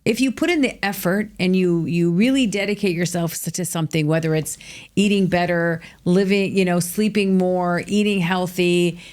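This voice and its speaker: Middle-aged American woman guest, lowish voice, emphatic storyteller, lots of "like". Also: moderate pace. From United States. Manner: energetic, emphatic, filler-heavy with frequent "like". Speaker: guest, author. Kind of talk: candid storytelling interview.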